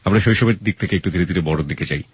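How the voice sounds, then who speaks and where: A man with a husky voice hosting a conversational radio interview, asking guests questions. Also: low voice, racing, dull audio.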